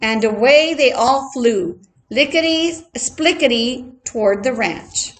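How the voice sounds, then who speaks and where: A woman reading a children's story aloud expressively, like a teacher, deliberate and adding playful asides for her listeners. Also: a little high, fairly bright tone.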